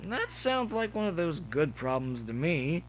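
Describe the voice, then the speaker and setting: Grinning wasted college pony, played by a man, higher and louder, mocking and carefree, for an audio story. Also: sing-song, unhurried, a little hiss or hum. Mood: gleeful goading. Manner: flippant, goading, raised voice. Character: grinning cocky male pony junkie student, flippant.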